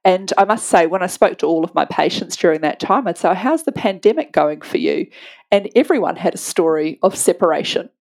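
A woman's voice: lowish voice, lively intonation, a little fast, gravelly, a little mellow, rough recording. A woman, an anaesthetist talking candidly and chattily as a guest in a podcast interview. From New Zealand. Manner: candid and chatty, laughs easily.

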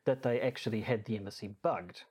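A man's voice: lowish voice, a little fast, darkish tone, slightly rough. A man co-hosting a podcast in casual discussion, with a hesitant, rambling delivery that often repeats words. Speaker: podcast co-host.

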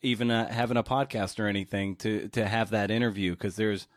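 A man hosting a conversational interview podcast, speaking haltingly with repeated words and long, winding questions. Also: on the low side, steady tone, no echo, clean studio sound.

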